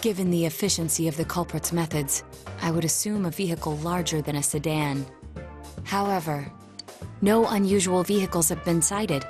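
A woman playing a calm, analytical young detective in a video game, speaking in a formal, measured and precise way. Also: on the low side, natural voice. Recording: music under the dialogue.

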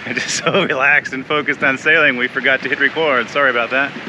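A man in his forties chatting casually to camera as a sailing vlog host, relaxed and easygoing. He is outdoors on a sailboat at sea, filmed on a close handheld action camera. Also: medium pitch, brisk, noisy background. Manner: relaxed, chatty, laughs easily. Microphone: action camera held close at arm's length.

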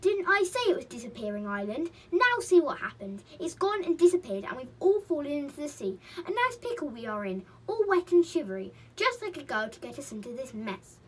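A woman's voice: on the high side, lively intonation, a little fast, warm tone, husky, far from the mic. A woman voicing a cross little male magical companion in a children's storybook, scolding and exclaiming with indignation. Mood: angry scolding.

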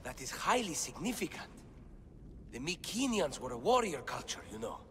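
A man's voice: on the high side, big pitch swings, moderate pace, a bit bright, long reverb tail, slight background noise. An actor voicing an earnest, bookish young man who explains ancient texts in a video game cutscene. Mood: thoughtful, explanatory.

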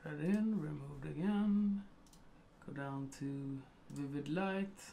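A man's voice: a little high, takes it slowly, creaky, warm tone, slight background noise. A middle-aged man from Sweden with a Swedish accent, talking through a photo editing tutorial at his computer and thinking aloud with many ums and uhs. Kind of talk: casual screen-recorded tutorial.

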